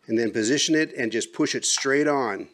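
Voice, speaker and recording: A man's voice: mid-range voice, moderate pace, noisy background. A middle-aged man talks through a hands-on DIY project in plain, folksy terms. He sounds like he is working in an open garage, picked up by the camera's own mic. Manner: folksy, plain-spoken instructions. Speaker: DIY vlogger. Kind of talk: casual how-to narration. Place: open garage, then outdoors on a roof.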